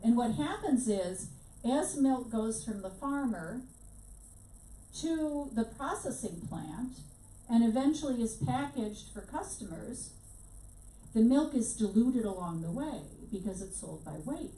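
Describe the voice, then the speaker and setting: A woman's voice: a little high, expressive, unhurried, mellow. Woman lecturing from across an echoey room. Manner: conversational, frequent fillers and restarts. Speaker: workshop instructor. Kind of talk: informal workshop lecture. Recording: echoey room, mic at a distance.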